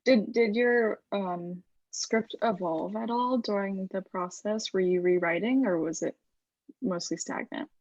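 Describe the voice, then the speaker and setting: A woman interviewer with a mid-pitched voice in a very dry recording. She puts her questions casually, in an encouraging and conversational way. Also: measured pace, a little mellow, no echo, dull audio.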